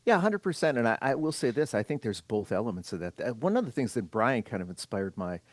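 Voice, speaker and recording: Lively, enthusiastic man on a podcast. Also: medium pitch, quick pace, no room sound. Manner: enthusiastic, upbeat. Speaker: podcast guest, event volunteer. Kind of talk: casual podcast chat.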